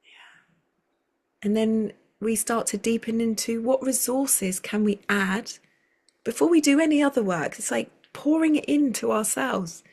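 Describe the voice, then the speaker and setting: A woman's voice: fairly high pitch, a little slow, darkish tone, husky. A woman, a circle facilitator, speaking as a guest over a video call in a flowing, rambling way with many restarts. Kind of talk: conversational interview.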